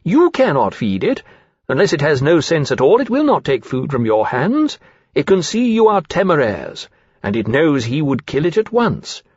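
A man's voice: fairly high pitch, animated, normal speed, gravelly, slightly rough, dry recording, dull audio, voice pushed up, heavily acted. A scornful, haughty military aviator as a male reader plays him, speaking in a raised, swooping voice. He is impatient and cutting, in the style of a period storybook villain. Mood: impatient, sneering.

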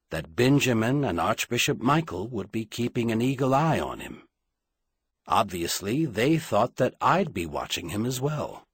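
A man voicing a calm, loyal royal advisor in an audiobook, quiet and deliberate as he reasons things through. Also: on the low side, breathy edge, no room sound, full character voice.

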